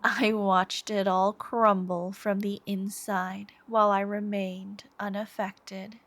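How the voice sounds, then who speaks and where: Woman performing female yandere supervillain, ASMR roleplay, medium pitch, unhurried pace, intimate, whispery phrase endings, obsessive, quietly menacing. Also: little pitch movement, no room sound. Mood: coldly proud. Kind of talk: ASMR roleplay, scripted dramatic monologue.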